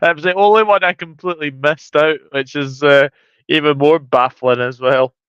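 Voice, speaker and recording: Clear-voiced Scottish man, dry sound. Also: on the high side, steady tone, normal speed, a bit bright, no echo, highs cut off. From Scotland. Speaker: guest co-presenter, football fan. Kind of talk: casual chat.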